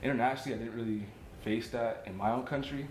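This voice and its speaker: A man's voice: medium pitch, steady tone, fast, a little mellow. A young man telling personal stories casually as a podcast guest. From South Sudanese family, lived in America. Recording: roomy echo, clear background noise.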